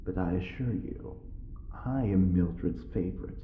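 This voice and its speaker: An actor playing a smug, well-bred prisoner with a sly wit, speaking in an arch, mock-polite drawl in an audio drama. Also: male voice, deep voice, unhurried, echoey room, narrow, tinny audio, slight background noise. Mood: defiant, arch. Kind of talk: scripted audio drama acting.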